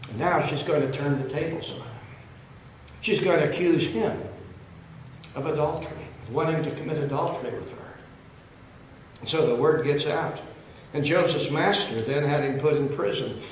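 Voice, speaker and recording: An older man preaching a sermon, retelling Bible stories, his throat rough and coughing as he talks around a cough drop. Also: a little high, moderate pace, long pauses. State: losing his voice, throat trouble. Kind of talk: sermon storytelling. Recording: very echoey, dull sound, faint hiss.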